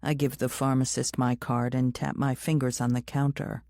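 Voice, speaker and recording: A woman's voice: rumbling low, level tone, moderate pace, darkish tone, no room sound, studio quality. A woman reading scripted first-person thriller narration as an audiobook narrator.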